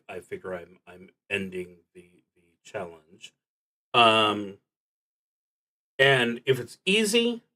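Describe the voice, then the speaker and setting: Slow-talking man with a bright, forceful voice. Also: mid-range voice. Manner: halting, thinking aloud, trails off. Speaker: solo tabletop roleplaying streamer. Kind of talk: casual livestream explanation of game rules.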